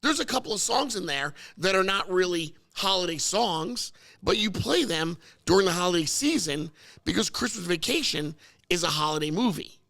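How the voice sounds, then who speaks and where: A hoarse man with a high, gravelly, bright voice hosts a casual talk show. He is losing his voice. He argues excitably, and his pitch keeps jumping upward. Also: normal speed. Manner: excitable, pitch jumps up when arguing. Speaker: podcast host. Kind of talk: casual banter. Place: car service center.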